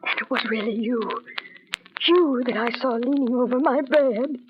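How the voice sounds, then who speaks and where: A woman playing a high-voiced, terrified captive. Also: moderate pace, lightly acted. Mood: hushed dread. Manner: melodramatic, fearful, insistent. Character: terrified trapped woman fearing she is infected. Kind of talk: old-time radio horror acting. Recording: dull, very noisy old radio recording.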